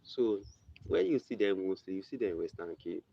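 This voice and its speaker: A young Nigerian man talks in a very low, gravelly voice, rambling and circling back on himself in a casual explainer. The sound is muffled and lo-fi, with steady noise underneath. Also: moderate pace. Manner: rambling, repeats and restarts, conversational asides. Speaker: video presenter. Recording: muffled lo-fi recording with background noise.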